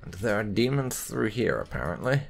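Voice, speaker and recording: A man giving video game commentary in a deliberate, musing way, sometimes reading dialogue aloud. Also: fairly low pitch, big pitch swings, gravelly. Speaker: let's-play gamer. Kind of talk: roleplay gameplay commentary. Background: video game music.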